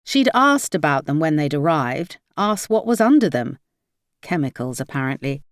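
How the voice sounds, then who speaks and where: A woman's voice: low-pitched, sing-song, moderate pace, no echo, studio quality. A woman reading a crime novel aloud as scripted audiobook narration.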